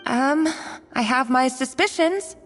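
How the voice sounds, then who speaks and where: An earnest, guilt-prone young cook in a story game. The voice sits high and anxious, hesitating as it explains, in a reverberant space with music behind. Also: female voice, slow pace, a bit bright. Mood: earnest, thoughtful. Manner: hesitant, earnest, breaking off nervously. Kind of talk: animated game voice acting.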